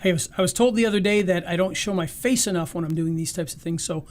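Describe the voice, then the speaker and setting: Man, high voice, livestream host, rambling casual talk, losing his voice. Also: quick pace. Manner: loose and rambling, frequent restarts. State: starting to lose his voice. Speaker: host of a live marketing session. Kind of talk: casual live-stream wrap-up.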